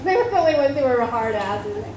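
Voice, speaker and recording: A middle-aged actress telling stories on a convention panel in a chatty, laughing way. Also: female voice, on the high side, expressive, fairly quick, a crowd in the background. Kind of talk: anecdotal panel talk. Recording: echoey hall with noisy house audio.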